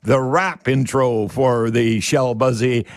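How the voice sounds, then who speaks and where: Radio advice host, male, older. Mid-pitched, folksy, halting explainer who repeats himself. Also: fairly even intonation. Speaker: radio call-in show host, home repair expert.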